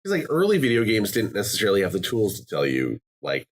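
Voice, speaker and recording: Animated, swearing podcast host. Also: male voice, lowish voice, quick pace. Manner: animated, profane, jumps into quoted voices. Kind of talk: casual chat.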